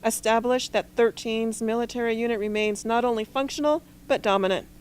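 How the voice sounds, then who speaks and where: Woman acting bossy military leader in a radio play, higher voice, formal and brisk. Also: fairly even intonation, clean tone, close to an everyday voice. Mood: commanding. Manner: formal, authoritative. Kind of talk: amateur radio drama acting.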